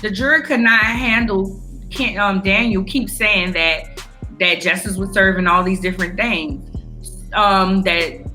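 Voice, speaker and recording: An American woman with a bright, forceful voice speaks over music. She is a podcast co-host in casual conversation, blunt and opinionated in how she puts things. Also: medium pitch, unhurried. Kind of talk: casual news discussion between friends.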